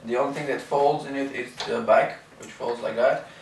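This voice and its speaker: Halting man speaking in an echoey room. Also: mid-range voice, steady tone. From Bulgaria. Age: 30s. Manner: many ums and uhs, unhurried and halting. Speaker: vlogger reviewing his wheelchair. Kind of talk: casual first-impressions review. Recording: echoey room, mic at a distance, faint hiss.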